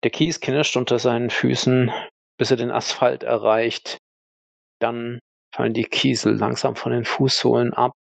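A man with a gravelly, creaky mid-pitched voice improvises story narration as a role-play player. The sound is close and dry. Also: flat delivery, measured pace, no echo.

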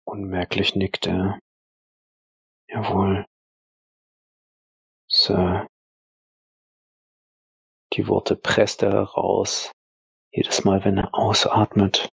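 Husky, gravelly low-voiced male narrator. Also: completely flat delivery, no echo, poor audio. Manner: terse, weighty phrasing with pauses. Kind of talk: dramatic scripted audio drama narration.

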